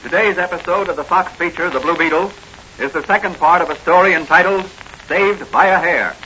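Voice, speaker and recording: Male serial announcer, dramatic delivery, bright cutting tone, muffled old-time radio recording with some noise. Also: on the high side, a little fast. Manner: dramatic, declamatory. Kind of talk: 1940s radio scripted narration.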